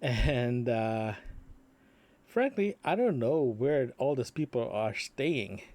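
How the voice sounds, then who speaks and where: A man recording a casual, unscripted audio diary as a podcaster, talking in a rambling, halting way with trailing asides. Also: medium pitch, expressive, a little mellow, no room sound.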